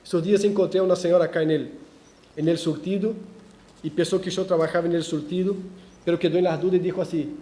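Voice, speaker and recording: A man preaching a verse-by-verse sermon in a church, animated, with ironic asides and frequent restarts. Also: high-pitched, quick pace. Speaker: pastor. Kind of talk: expository sermon.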